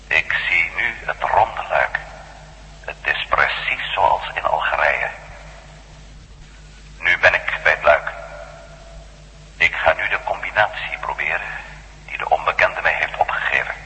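An actor playing a determined former astronaut turned reporter in a radio drama, terse and earnest. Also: male voice, extremely low pitch, measured pace, long pauses, pushed and bright, slightly rough, in a large hall, heavily acted, poor audio. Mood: tense, awed. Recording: faintly hissy old radio drama recording.

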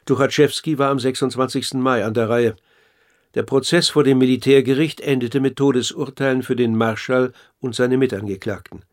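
A man's voice: mid-range voice, fairly even intonation, lightly acted. An actor as a sober, precise male author-narrator. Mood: sober, explanatory. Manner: measured, factual, precise. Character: sober, inquisitive author narrating a historical investigation. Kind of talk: scripted documentary radio play narration.

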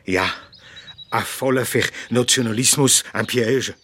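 An actor playing a grave, idealistic middle-aged Alsatian doctor with a husky voice, in a German radio drama. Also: male voice, medium pitch, measured pace, fairly bright tone, a little hiss or hum, natural voice. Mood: earnest.

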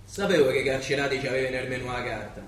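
A man's voice: medium pitch, fairly even intonation, racing, fairly bright tone, a little hiss or hum, natural voice. A man playing a cocky, defiant, hungry young prisoner with a Roman accent, mocking and brusque in a theatrical comic radio play. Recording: echoey stage, music behind.

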